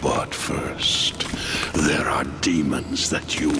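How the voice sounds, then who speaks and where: A man's voice: very deep voice, fairly even intonation, slow, vocal fry, full character voice. An actor playing a wrathful undead king in a fantasy video game, commanding and menacing. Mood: stern, ominous. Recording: loud music underneath.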